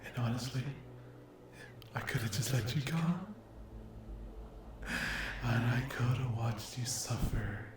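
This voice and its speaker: A man voicing a cruel, mocking sleep paralysis demon in a breathy ASMR roleplay, taunting and condescending in drawn-out phrases. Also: mid-range voice, steady tone, noisy background, lightly acted. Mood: smug, possessive. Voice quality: breathy whisper. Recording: roomy echo.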